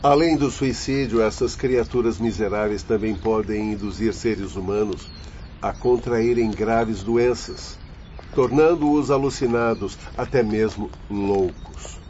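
An actor playing a learned, courteous doctor spirit guiding visitors, formal and explanatory, with a Brazilian accent, in a radio drama. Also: male voice, lowish voice, a little slow, warm tone, lightly acted. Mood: solemn, explaining. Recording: muffled audio, faint background noise.

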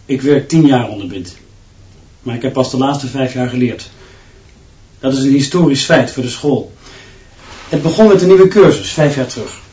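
A veteran teacher, played by a male actor, talks matter-of-factly in a radio drama. His voice is medium in pitch. It sounds a little distant and muffled, in an echoing room. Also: normal speed, warm tone, natural voice. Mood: earnest, factual. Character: long-serving male teacher recounting his director's regime.

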